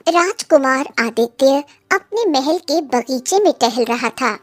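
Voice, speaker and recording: A woman's voice: sits high, animated, takes it slowly, dry recording. A woman narrator reading a scripted children's cartoon story.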